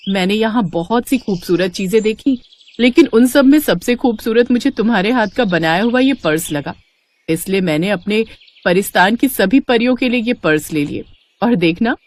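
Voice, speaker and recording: A woman plays a young fairy from a storybook. She sounds kindly and confident, with a very lively rise and fall in her speech. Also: fairly high pitch, close to an everyday voice.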